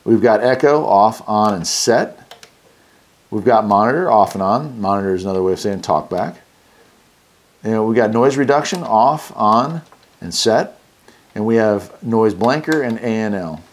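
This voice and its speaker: A man's voice: lowish voice, lively intonation, normal speed. An American man hosting a casual product unboxing and review, talking in a folksy, enthusiastic way. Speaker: radio review host.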